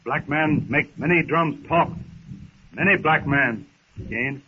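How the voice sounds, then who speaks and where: A husky, fairly high-voiced wild jungle man in a 1930s radio serial. He is blunt and loyal, speaking in halting broken phrases through a dull old recording. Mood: calm, steady. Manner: halting broken speech in short, clipped phrases.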